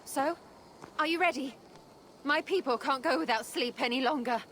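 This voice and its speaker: An earnest, chatty village woman in a video game. Her voice is high and she speaks in a friendly, expressive way. There is faint noise behind her. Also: normal speed. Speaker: game character. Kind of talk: video game cutscene acting.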